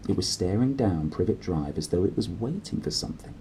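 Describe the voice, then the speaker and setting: A man narrating a children's storybook aloud in an expressive style. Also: low voice, fairly quick, slight background noise, poor audio. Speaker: storybook reader.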